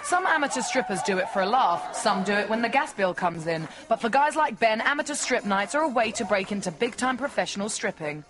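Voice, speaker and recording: A woman's voice: medium pitch, animated, brisk, hardly any pauses. A woman narrating a documentary voice-over in a wry, lightly teasing way. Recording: music bed under the voice.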